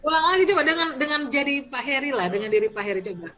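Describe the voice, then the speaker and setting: A woman lecturer examining a candidate over a video call, teasing and lively, laughing often. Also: high voice, quick pace. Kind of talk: online exam interview.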